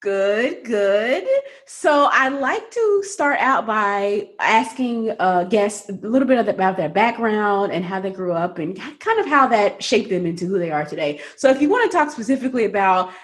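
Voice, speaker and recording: A woman's voice: mid-range voice, lively intonation, moderate pace. Woman hosting an interview podcast. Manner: friendly, reflective, uses fillers. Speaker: podcast host.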